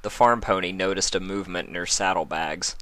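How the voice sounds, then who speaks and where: A man's voice: deep voice, a little fast, fairly bright tone, no echo. A man reading scripted story narration as the narrator of a fan fiction.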